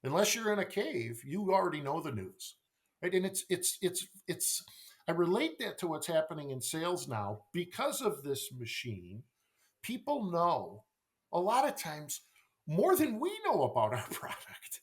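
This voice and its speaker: A man's voice: a little high, big pitch swings, unhurried. A middle-aged man talking as a podcast guest and sales coach, emphatic, repeating phrases and posing rhetorical questions. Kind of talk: conversational podcast interview.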